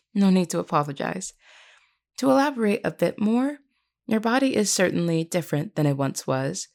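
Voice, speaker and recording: A woman playing a gentle, courteous vampire queen in an intimate audio roleplay, speaking politely and reassuringly. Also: lowish voice, expressive, moderate pace, vocal fry. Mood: warm, reassuring. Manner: polite, soft-spoken reassurance with hesitant asides.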